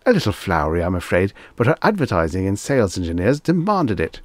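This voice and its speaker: A man's voice: sits low, big pitch swings, fast talker, no echo. A man voicing a fussy, deferential salesman in an audiobook story, anxious to please and formally wordy. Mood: apologetic, proud. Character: eager, anxious, fussy salesman clerk, deferential and long-winded.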